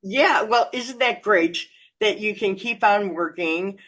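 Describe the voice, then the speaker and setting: A woman's voice: medium pitch, takes it slowly, over a noisy crowd. An American woman hosting an interview, chatty and effusive, with lots of fillers and laughter in her talk. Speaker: interview host. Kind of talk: casual interview chat.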